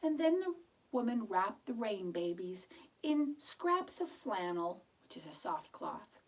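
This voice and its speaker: A woman's voice: fairly high pitch, breathy edge, distant mic, rough recording. A woman reading a picture book aloud to children, expressively, with chatty explanatory asides. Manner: expressive, explains words and asks the listener questions. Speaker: children's storybook reader.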